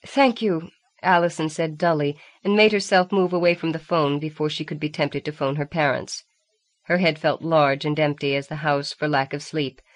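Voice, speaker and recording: A woman reading a novel aloud as scripted audiobook narration. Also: low voice.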